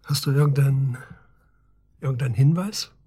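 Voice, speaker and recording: An actor playing a wry, inquisitive middle-aged police officer questioning an old friend in a naturalistic radio drama. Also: male voice, mid-range voice, normal speed, mellow, slightly rough, lightly acted. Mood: probing. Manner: inquisitive, prodding, familiar.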